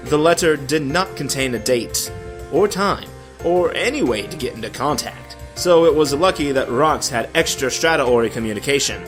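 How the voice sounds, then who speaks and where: A man's voice: medium pitch, lively intonation, moderate pace, over music. A man giving a dramatic, comedic story reading in a theatrical, sardonic manner with exasperated asides. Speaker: narrator of a story.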